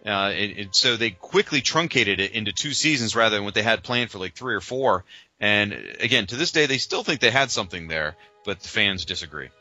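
A man's voice: fairly low pitch, expressive, a little fast, sharp tone, no echo, dull audio, faint music behind. A man co-hosting a podcast, talking casually and emphatically with a fan's strong opinions. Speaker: podcast co-host. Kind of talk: casual fan discussion.

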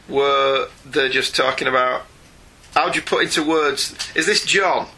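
A man's voice: mid-range voice, unhurried, pushed and bright. A British man co-hosting a comics podcast, reviewing in a conversational, opinionated and wry way. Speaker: podcast co-host.

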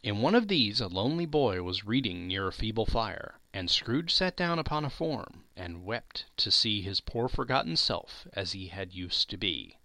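Very animated male dramatic storyteller. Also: fairly low pitch, normal speed, hardly any pauses, dry recording. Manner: theatrical, emphatic storytelling. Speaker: podcast host reading a classic story. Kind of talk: dramatic scripted narration.

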